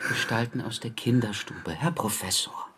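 Guy playing calm polite carer in a radio drama, formal n soothing, medium voice, bit of background noise. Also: medium pitch, lightly acted. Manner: measured, reassuring, formal. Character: calm, dutiful male attendant of an old professor.

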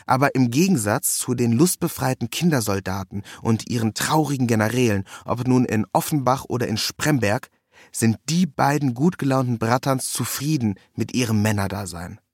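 A man's voice: medium pitch, normal speed, no room sound. Man narrating wryly, close and dry. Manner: wry, ironic delivery with acted quotes. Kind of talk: scripted first-person literary narration.

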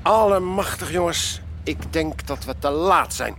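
Jovial police leader in radio drama, authoritative, slightly high voice, faint street noise. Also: male voice, unhurried, a bit bright. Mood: resigned, amused. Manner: jovial authority, addresses his companions as lads. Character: hearty, commanding male police sergeant. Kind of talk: radio play acting.

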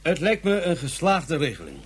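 A pompous federation inspector. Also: male voice, fairly high pitch, huge pitch swings, normal speed, husky, exaggerated voice. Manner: bureaucratic, pompous. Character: officious male federation police inspector. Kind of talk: radio drama acting.

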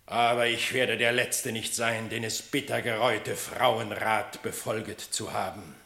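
An actor playing a stern, aging lion king in a German radio play, speaking slowly and solemnly. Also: on the low side, steady tone, vocal fry, bright, forceful tone, full character voice. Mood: angry. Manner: slow, solemn, brooding. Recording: echoey studio room.

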